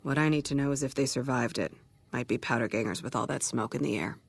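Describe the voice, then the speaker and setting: An actor playing a weary, blunt ranger sniper in a video game, with dry, sardonic delivery. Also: male voice, mid-range voice, quick pace, creaky, slightly rough. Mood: serious, businesslike. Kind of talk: video game dialogue acting.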